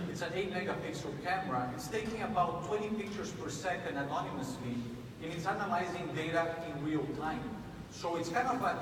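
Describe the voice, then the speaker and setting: A man delivers an energetic, conversational keynote in a large conference room, restarting his sentences often. His voice sits a bit high for a man. It carries from a distance through a long, echoing hall reverb over steady background noise. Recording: echoey hall from far off, noisy.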